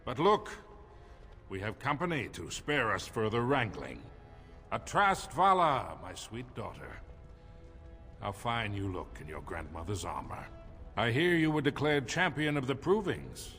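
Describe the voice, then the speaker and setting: A man's voice: mid-range voice, very animated, measured pace, bright, forceful tone, soft background music. An actor playing a proud, regal older dwarf king in a video game, stately and formal. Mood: fond, proud. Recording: long reverberant hall.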